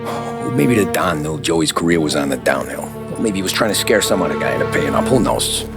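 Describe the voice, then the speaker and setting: An actor playing a streetwise, easygoing American mobster with slangy, matter-of-fact talk in a video game. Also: male voice, a bass voice, expressive, brisk, gravelly, loud music behind the voice, heavily acted. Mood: matter-of-fact, reminiscing. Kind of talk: cinematic game acting.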